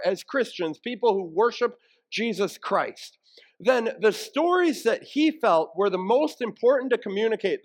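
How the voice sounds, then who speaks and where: Guy pastor with a pretty high voice, teaching a sermon in a plain chatty way. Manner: conversational, plain-spoken explaining with rhetorical questions. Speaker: pastor preaching to a congregation.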